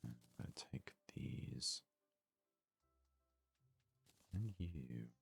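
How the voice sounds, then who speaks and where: Very deep, gravelly ASMR man. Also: lively intonation. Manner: unhurried, hesitant, thinking aloud. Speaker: gaming video host. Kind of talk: soft-spoken ASMR game commentary.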